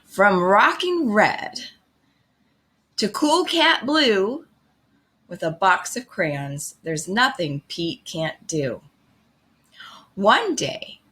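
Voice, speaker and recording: A woman reading a children's picture book aloud in an expressive, deliberate way. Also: medium pitch. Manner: slow, expressive, deliberate phrasing. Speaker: storybook reader.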